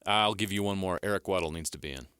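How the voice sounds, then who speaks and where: A man hosting a sports radio show, talking briskly and leading the segment. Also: low-pitched, sharp tone, clean tone, dry recording, clean studio sound. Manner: brisk, upbeat, leads the segment.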